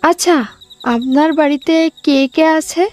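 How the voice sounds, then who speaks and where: A woman's voice: high-pitched, fairly even intonation, a little slow, faint music behind. A woman voicing a meek, deferential orphan girl in a cartoon. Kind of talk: Bengali children's cartoon voice acting.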